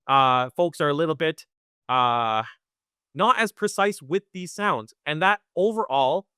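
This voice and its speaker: A man teaching Quran recitation in a webinar, talking conversationally, often checking in with "right?" and sounding out recitation slowly. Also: a little high, fairly bright tone, clear, resonant tone, no room sound, studio quality. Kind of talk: live webinar lesson.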